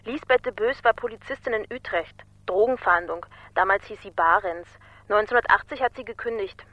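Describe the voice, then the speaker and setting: An actress in a German radio crime drama playing an informal, wry research colleague who reports in a matter-of-fact way. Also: female voice, medium pitch, brisk, dry recording, lightly acted. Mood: wry, informative. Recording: dull, dry telephone-like sound.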